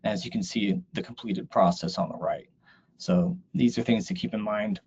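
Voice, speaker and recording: A man's voice: fairly low pitch, normal speed, a little mellow. A man giving an explanatory slide presentation in a webinar. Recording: dull, lo-fi online audio.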